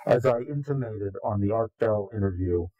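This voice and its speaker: A man giving an interview in a slow, grave, deliberate way, pausing often between phrases. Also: fairly low pitch, lively intonation, dark tone, no room sound, highs cut off. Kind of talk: ominous recorded interview.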